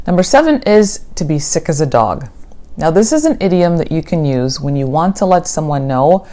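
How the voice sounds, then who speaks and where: An American woman who is an English teacher, giving a clear, scripted vocabulary lesson and reading example sentences. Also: low-pitched, very animated, moderate pace, mellow. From United States.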